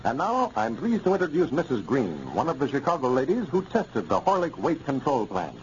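A male American announcer from 1930s radio delivers scripted lines with animated intonation. His pitch is middling. The sound is dull with faint noise. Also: fairly quick. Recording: muffled, noisy 1930s radio recording.

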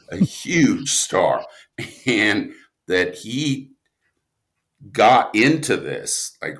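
Male podcast guest, low register, slow-paced, lively swings in pitch, rambling anecdotal delivery. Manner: animated, rambling storyteller, self-interrupting. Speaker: guest, audio drama writer and producer. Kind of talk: casual podcast interview talk.

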